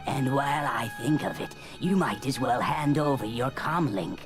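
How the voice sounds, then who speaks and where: An actress playing a cold, gloating villain in a space opera audio drama, with a menacing, sneering delivery. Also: female voice, very deep voice, normal speed, over music. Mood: smug, menacing. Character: cold gloating villain Cipher, outlaw boss with a blaster.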